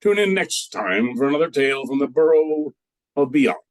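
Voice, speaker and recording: A man reads as narrator and announcer of a scripted radio play. His voice is medium in pitch, with a dry sound free of room echo. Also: expressive, normal speed.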